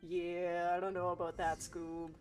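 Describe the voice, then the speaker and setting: A woman streaming a game playthrough with a fairly low voice. She is playful and sarcastic, switching between reading aloud and wry commentary. Faint game music is behind her. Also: completely flat delivery, measured pace, darkish tone. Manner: playful, sarcastic, foul-mouthed.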